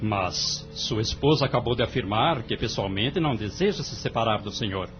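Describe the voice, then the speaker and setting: A man playing a solemn, probing judge in an audio drama, speaking formally and with measured phrasing. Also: on the low side, expressive, natural voice. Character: solemn, probing, fair-minded male family judge. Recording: dull lo-fi audio with music behind.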